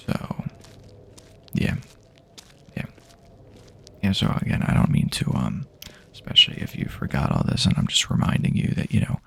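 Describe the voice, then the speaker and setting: A man improvising an ASMR roleplay monologue in a soft, breathy half-whisper, rambling hesitantly with frequent pauses. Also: sits low. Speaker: ASMR performer playing a companion on a post-apocalyptic journey. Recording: faint background ambient noise.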